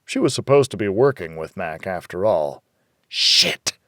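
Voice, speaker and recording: A man's voice: low-pitched, animated, fairly quick, a little mellow, no room sound, studio quality. A man narrating a hardboiled noir audiobook in the first person, wry and world-weary.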